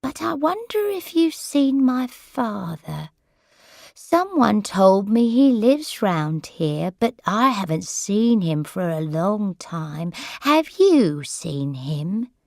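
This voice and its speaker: Woman doing a confused old lady, slow and wandering, voice bouncing all over, dry sound. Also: mid-range voice, sing-song, vocal fry, a little mellow, dry recording, heavily acted. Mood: bemused. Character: elderly woman, muddled and easily upset.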